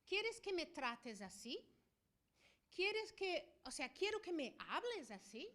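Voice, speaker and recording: A high-pitched middle-aged woman, a Portuguese native from Brazil, speaking Spanish with the accent she picked up living in Spain. She lectures at a conference in a teacherly way and keeps checking in with the audience. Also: very animated, a bit bright. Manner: didactic, often checks in with a quick "ok?". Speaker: veterinarian and university professor giving a talk. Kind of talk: conference presentation.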